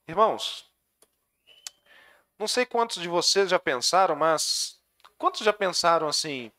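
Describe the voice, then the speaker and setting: High-voiced Brazilian pastor preaching earnestly. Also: male voice, lively intonation, a little slow. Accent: Brazilian Portuguese. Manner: earnest preaching, voice dropping soft at phrase ends. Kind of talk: sermon with prayer.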